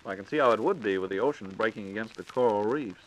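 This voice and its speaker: Brisk American man hosting 1940s radio. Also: on the low side, rough recording. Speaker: radio show host. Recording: 1940s radio broadcast recording.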